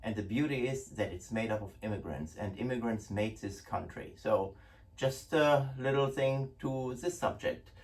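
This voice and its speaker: A man's voice: lowish voice, moderate pace. German-accented middle-aged man, distant mic. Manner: chatty, many restarts and fillers. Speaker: host, gun importer. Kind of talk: casual product talk to camera. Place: indoor office room. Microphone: far camera. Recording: distant room sound.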